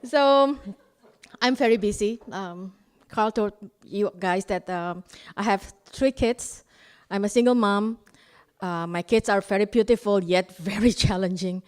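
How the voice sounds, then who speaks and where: Woman, Indonesian accent with Australian traces, forties, mid-pitched voice, slow pace, self-mocking stand-up. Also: smooth. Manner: self-mocking, laughs at her own jokes. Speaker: stand-up comedian. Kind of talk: live stand-up storytelling.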